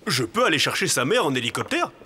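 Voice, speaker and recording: A man's voice: on the high side, very animated, fast talker. A man voicing an eager, helpful animal helicopter pilot in a children's cartoon. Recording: loud helicopter noise behind.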